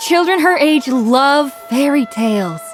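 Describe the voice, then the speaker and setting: A woman voicing a kindly, forgetful young village woman in a video game, gentle and hesitant, easily flustered. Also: high voice, full character voice. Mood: puzzled. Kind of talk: scripted video game dialogue.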